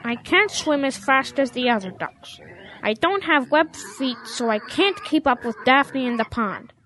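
A woman voicing a stubborn, dejected young cow who insists on being a duck, childlike and halting, in an audio drama. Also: high-pitched, lively intonation, a bit bright, a little hiss or hum. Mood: dejected, determined. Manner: halting, stammering, self-correcting.

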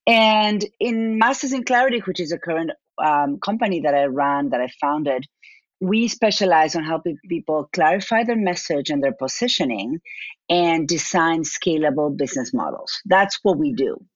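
A woman with an Argentine accent talks through her work as a guest, often repeating and restarting words as she thinks. Her voice sits low. The sound is dry and a little muffled, like a remote call. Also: lively intonation, moderate pace, no echo. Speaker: business owner, guest. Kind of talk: interview answers.

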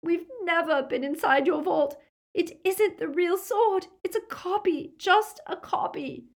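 A woman's voice: extremely high pitch, unhurried, pitched above the performer's own voice. A woman voicing a frightened teenage girl in an audiobook, sobbing and pleading earnestly. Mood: desperate, sobbing.

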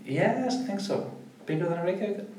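A man's voice: high-pitched, lively intonation, brisk, a little mellow. A man from South Iceland with an Icelandic accent, a podcast host chatting in a rambling, easygoing way and laughing easily. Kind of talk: casual conversation. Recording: roomy echo, distant from mic.

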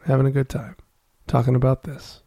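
A podcast host talking in a loose, rambling way, prone to giggles. The voice sits at an ordinary middle pitch, and the host sounds mildly high. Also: flat delivery, brisk, creaky, dark tone. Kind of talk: casual chat.

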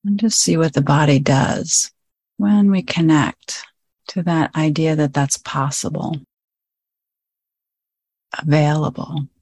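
A woman guides a meditation in a slightly low voice. She speaks very slowly, in short, gently inviting phrases separated by long pauses. The recording is dry and close, with no room echo. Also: steady tone. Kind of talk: guided meditation, slow spoken instruction.

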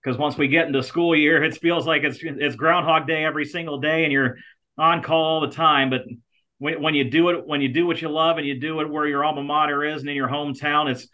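A man's voice: on the high side, fairly even intonation, a little fast, sharp tone. An American man giving conversational sports talk as a guest contributor on a show. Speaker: guest sports contributor, college athletic communications director. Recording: slightly dull, lo-fi sound.

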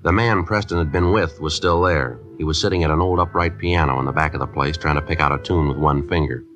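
Terse, very low-voiced police detective, deadpan case narration, vintage radio drama with music underneath. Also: male voice, fast talker. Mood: flat, matter-of-fact. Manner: deadpan, matter-of-fact.

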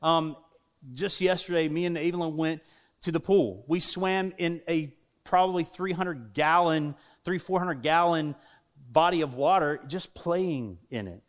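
A man's voice: on the high side, normal speed. A man with a Southern American accent preaching a sermon as a pastor, in a casual, conversational way with frequent fillers and asides to the congregation. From United States. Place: church sanctuary.

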